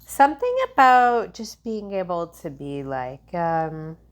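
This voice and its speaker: A woman's voice: on the low side, very animated, slow pace, clear, resonant tone. A woman giving a tarot reading in a loose, meandering way, full of fillers and restarts. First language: English. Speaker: tarot reader. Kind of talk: casual spiritual tarot reading.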